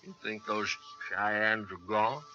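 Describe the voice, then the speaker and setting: A man's voice: on the low side, takes it slowly. An American man acting a cocky, shifty captured outlaw in a 1950s radio western, with a folksy, insolent drawl. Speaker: radio actor. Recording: dull vintage radio recording with music.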